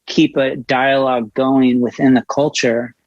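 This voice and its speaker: A man's voice: mid-range voice, flat delivery, unhurried, a little mellow, dry recording. A middle-aged American man speaking earnestly and candidly as a podcast guest, emphatic and informal. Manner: impassioned, emphatic, informal with swearing. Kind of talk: earnest, candid interview conversation. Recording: dull, muffled lo-fi audio.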